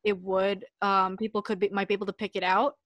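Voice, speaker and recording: A woman's voice: medium pitch, little pitch movement, fairly quick, a bit bright, dry recording, dull audio. A woman from the United States co-hosting a casual podcast chat, talking in a reflective, loose way with lots of fillers. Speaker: podcast co-host.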